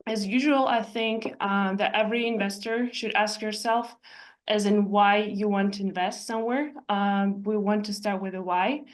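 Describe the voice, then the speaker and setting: A woman from Ukraine, an architect giving an explanatory presentation in a measured way, pausing often to find her words. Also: mid-range voice, steady tone. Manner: measured, explanatory, with frequent hesitations. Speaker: architect and real estate investor presenting. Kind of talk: presentation walking through slides.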